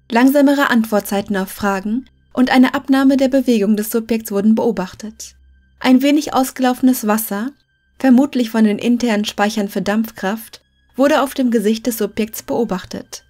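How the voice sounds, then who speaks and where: A woman's voice: a little high, a little mellow. A woman narrating a scripted fictional case file in a formal, report-like manner.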